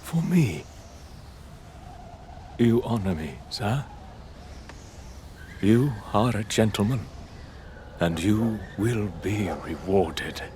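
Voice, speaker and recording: An actor playing a proud, tormented, weary lord in a gothic audio drama, speaking in halting, archaic, theatrical phrases. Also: male voice, on the low side, lively intonation, dark tone, a little hiss or hum. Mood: pleading, eager.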